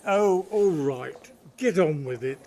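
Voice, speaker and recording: Man as fussy English manager, fairly high. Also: lively intonation, slow, noisy background. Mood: exasperated. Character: fussy, disapproving male department store manager. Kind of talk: radio sitcom acting. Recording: amateur radio play, crowd sound behind.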